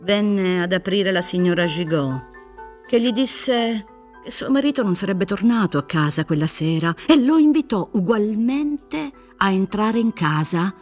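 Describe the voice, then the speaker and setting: A relentless prosecutor played by a woman lays out an accusation in theatrical radio drama style. The delivery is deliberate and insinuating, in a mid-pitched voice over faint music. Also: expressive, a little mellow, natural voice. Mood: insinuating narration. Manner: deliberate, emphatic, dramatically narrating. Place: dark theatre stage set for radio recording. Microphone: boom-mounted studio microphone, headphones.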